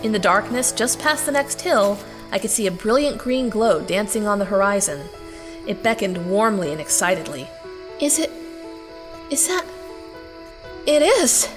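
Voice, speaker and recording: A woman's voice: mid-range voice, very animated, normal speed, pauses often, close to an everyday voice. Young heroine, played by a woman over music. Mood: thrilled. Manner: conversational, wry. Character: young heroine narrating her adventure, wry and wondering. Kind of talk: first-person audio drama narration and dialogue.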